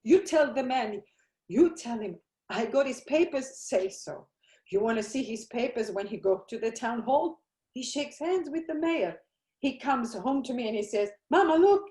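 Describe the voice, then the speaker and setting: A woman's voice: a little high, lively intonation, normal speed, warm tone, close to an everyday voice. An actress playing a grieving Italian mother. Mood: furious grief. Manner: broken English, pleading then raging. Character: grieving immigrant mother, older woman, desperate and fierce. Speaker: immigrant mother. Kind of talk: broadcast drama acting. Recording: roomy echoey recording.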